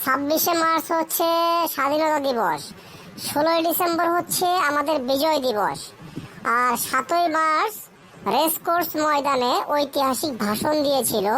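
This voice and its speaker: A woman's voice: high voice, normal speed, a little hiss or hum, rough recording. A woman voicing a cheerful, teasing cartoon child who quizzes friends. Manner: lively, teasing, mixes in English phrases.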